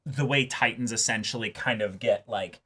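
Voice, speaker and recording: A man with a bright, forceful voice talks through anime as a show co-host. He is emphatic but halting, circling back over his words while he builds a point. The delivery is casual and conversational. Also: medium pitch, breathy edge.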